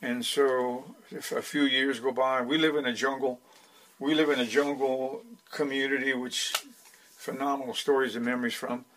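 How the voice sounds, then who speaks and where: A man in his eighties, Dutch by first language and from the Netherlands, recounting his life as a podcast guest in an unhurried way with many restarts. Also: medium pitch, little pitch movement, a bit bright, a little hiss or hum, low-quality recording. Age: 80s. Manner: unhurried storyteller with frequent restarts. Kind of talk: storytelling interview.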